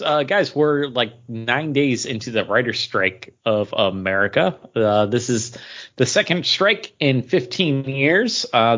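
A man with a mid-pitched voice talks like a podcast co-host on slightly muffled, lo-fi audio. His delivery is conversational and halting, and he slips into quoting imagined exchanges as he explains things. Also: fairly bright tone. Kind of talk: casual news chat.

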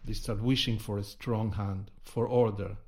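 A mature man from Argentina giving a measured, articulate reflection in a deliberate, grave manner. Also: lowish voice, fairly even intonation. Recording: music playing behind the voice.

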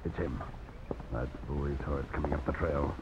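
An actor playing a villainous ambush leader giving orders in a 1950s radio drama. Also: male voice, very deep voice, fairly even intonation, moderate pace. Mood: tense, menacing. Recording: very noisy, muffled old radio broadcast.

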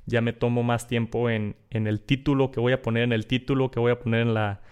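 A man's voice: mid-range voice, little pitch movement, a little fast, darkish tone, clean tone. A man with a northern Mexican accent hosting a podcast, chatting casually and often repeating words as he restarts. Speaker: podcast host.

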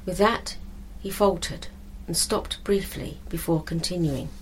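A woman reading a scripted story as narrator, in a measured, expressive storytelling manner. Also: lowish voice, slight background noise, rough recording.

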